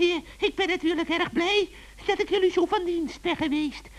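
Man acting a wailing, very shrill old witch. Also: steady tone, moderate pace. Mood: sourly polite. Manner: theatrical, stammering, wailing. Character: old female forest witch, whining, scheming, self-pitying. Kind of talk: children's radio play acting.

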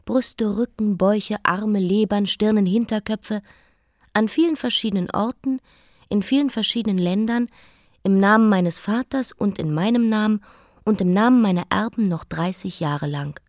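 A woman's voice: mid-range voice, moderate pace, a little mellow, no room sound, studio quality, close to an everyday voice. An actress playing a defiant young queen who was raised as a boy, narrating her life in a radio play monologue. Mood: matter-of-fact.

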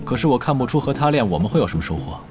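A man's voice: medium pitch, very animated, racing, lightly acted. An actor playing a stern, composed male esports team captain in a Chinese audio drama, serious and restrained. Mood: serious, challenging. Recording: background music under the voice.

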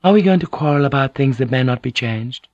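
A man's voice: mid-range voice, expressive, a little fast, dry recording, lo-fi sound, pitched above the performer's own voice, close to an everyday voice. A man voicing a serene, tender, fatalistic noblewoman with formal phrasing, in an audiobook. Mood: calm, resigned.

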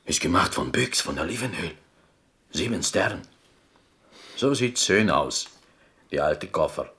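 Low-voiced, swooping Greek storyteller. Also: male voice, expressive, pushed and bright, full character voice. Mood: proud, wistful. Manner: broken German, halting, emphatic storytelling. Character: Greek man from Corfu, proud, melancholy storyteller. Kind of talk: German radio drama acting.

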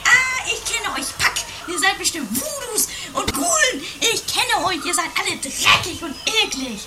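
A piercingly high voice, an imperious, suspicious sister in a homemade comedy radio play. She snaps scornfully, heard far from the microphone. Heavy noise covers the old recording. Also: sing-song, measured pace, exaggerated voice. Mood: hostile. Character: haughty, hostile, suspicious sister from outer space. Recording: very noisy, distant homemade 1980s recording.

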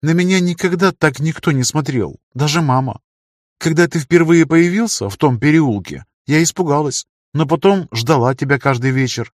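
Guy doing a lively young woman for an audiobook, pitched up, bit raspy, playful and pushy. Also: moderate pace, no room sound, studio quality, natural voice. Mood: tender. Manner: playful, expressive. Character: lively young woman, teasing, bold and direct. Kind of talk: audiobook character voice.

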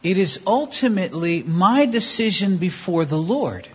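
Woman preacher, sermon, lower voice, lively pitch, slightly husky, dull lo-fi sound. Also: unhurried. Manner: acts out short dialogues, lively. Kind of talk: sermon with live interpretation. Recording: dull lo-fi recording.

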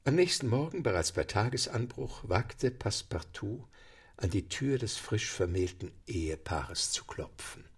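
Gravelly, lively male narrator. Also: on the low side, a little slow, a little hiss or hum. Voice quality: gravelly, husky. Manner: lively storytelling, dry irony. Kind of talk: radio play narration.

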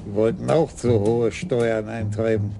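An actor playing a shrewd senior police commissioner who gives orders in a dry, commanding way. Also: male voice, lowish voice, little pitch movement, measured pace, creaky, over music.

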